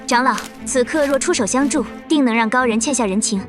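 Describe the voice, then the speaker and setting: Woman acting as young female disciple, fairly high voice, earnest, formal, animated fantasy drama, music behind. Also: a little fast, close to an everyday voice. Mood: urging.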